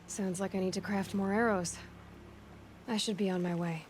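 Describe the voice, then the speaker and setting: An actress playing a determined, self-reliant young huntress in a video game, speaking in a direct, guarded, earnest way. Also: female voice, medium pitch, steady tone, normal speed, darkish tone, slight background noise, natural voice. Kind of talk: dramatic video game dialogue. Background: background music.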